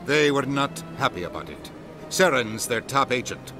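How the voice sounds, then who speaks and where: An actor playing a testy, authoritative ambassador in a video game, curt and reproving. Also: male voice, medium pitch, very animated, moderate pace, over music, lightly acted.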